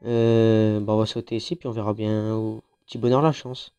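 A congested gamer with a French accent and a mellow, dark-toned voice talks his way through a mobile shooter match. His delivery is chatty and loose, with frequent fillers and restarts, the voice thick from a cold. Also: on the low side, little pitch movement, moderate pace, clean tone, lo-fi sound. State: has a head cold, congested. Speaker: gaming YouTuber. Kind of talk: casual mobile game commentary.

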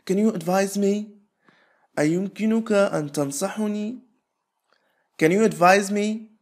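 A man leads an English drill in a somewhat high voice. He stretches each phrase out word by word, then speeds through quick explanations. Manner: repeats phrases slowly, stretching words apart; explains rapidly between drills. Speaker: English teacher. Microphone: voice-over.

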